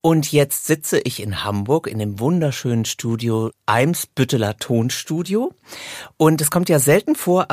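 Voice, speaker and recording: A man's voice: mid-range voice, darkish tone, breathy edge, dry recording, clean studio sound. A man hosting a chatty interview podcast, speaking informally and with enthusiasm. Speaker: podcast host. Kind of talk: chatty interview podcast talk about audiobooks. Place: recording studio in Hamburg. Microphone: close studio microphone.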